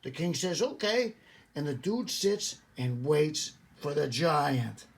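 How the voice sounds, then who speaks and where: An older man acts out a cocky, impatient boy telling his own storybook adventure. The voice sits high for a man, and the delivery is slangy and blunt, with an exasperated edge. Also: lively intonation, takes it slowly, vocal fry, heavily acted. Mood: cocky storytelling. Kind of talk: animated storybook read-aloud.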